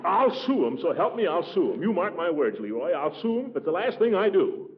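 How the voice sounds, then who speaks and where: An actor playing a pompous, easily flustered middle-aged uncle who blusters and sputters in a 1940s radio comedy. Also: male voice, high voice, very animated, fairly quick, a crowd in the background. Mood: indignant, flustered. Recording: tinny 1940s radio broadcast, audience.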